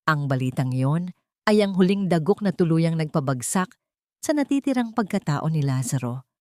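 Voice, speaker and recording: A woman's voice: sits low, lively intonation, moderate pace, darkish tone, dry recording, studio quality. A woman with a Filipino accent narrating a scripted love story in a dramatic storytelling style.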